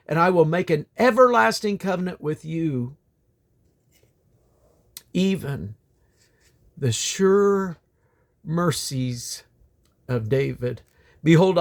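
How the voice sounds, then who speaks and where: Higher-pitched man solemnly reading scripture. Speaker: Bible reader.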